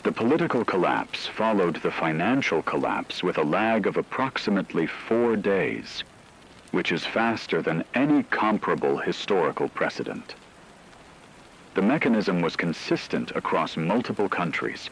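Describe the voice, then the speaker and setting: A man's voice: low-pitched, a bit bright, slight background noise, full character voice, rough recording. A man playing a serious radio analyst of global collapse, reading scripted documentary narration in a formal, methodical manner.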